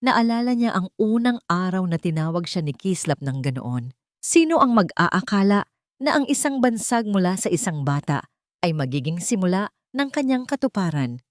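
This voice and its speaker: A woman narrating a story expressively and sentimentally, in short measured phrases. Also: fairly low pitch, big pitch swings, no echo, clean studio sound. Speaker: audiobook narrator.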